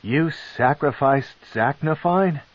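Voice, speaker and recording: A man voicing a defiant young male dark elf warrior who speaks bitterly and sarcastically. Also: lowish voice, very animated, measured pace, breathy edge, no room sound, heavily acted. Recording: dull lo-fi tape.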